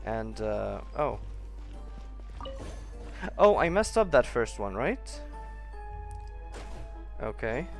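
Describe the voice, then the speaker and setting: A man's voice: mid-range voice, sing-song, drawn-out words, vocal fry, pauses often. Casual male game streamer over music. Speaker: gaming streamer. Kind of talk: casual gameplay commentary, reading in-game notes aloud. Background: video game music.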